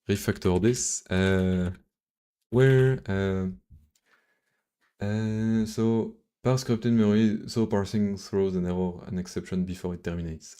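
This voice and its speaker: Low, slow-spoken Frenchman thinking aloud. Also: a little mellow. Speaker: live-streaming programmer. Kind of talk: casual think-aloud coding commentary.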